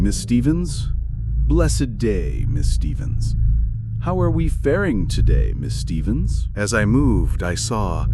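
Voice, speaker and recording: Male horror storyteller: dramatic scripted read, lowish voice, wide pitch movement, music underneath. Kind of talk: dramatic first-person scripted narration.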